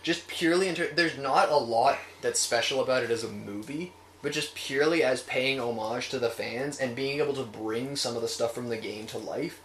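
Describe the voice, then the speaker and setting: A man's voice: medium pitch, moderate pace, hardly any pauses, a bit bright. A man co-hosting a review show, talking casually and opinionatedly in a group chat and swearing freely. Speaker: co-host of a film review show. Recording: roomy echo with faint noise.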